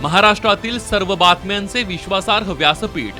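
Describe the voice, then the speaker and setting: A male announcer reads a scripted promo voice-over. His voice is high for a man, and music plays clearly beneath it. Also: a little fast. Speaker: channel announcer. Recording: music under the voice.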